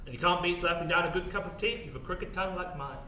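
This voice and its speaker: A man's voice: high voice, quick pace, natural voice. An actor playing a droll, officious male overseer, giving formal and pedantic announcements in a stage play. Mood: droll, brisk. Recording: roomy distant stage sound with noise.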